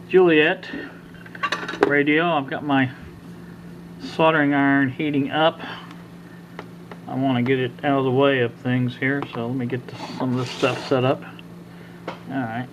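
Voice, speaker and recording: A man's voice: medium pitch, fairly even intonation, a little slow, pauses often, lo-fi sound. Hesitant older man over background noise. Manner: hesitant, rambling. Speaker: hobbyist electronics repair host. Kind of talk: casual, unscripted how-to talk. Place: kitchen at home. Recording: clear background noise, amateur home video.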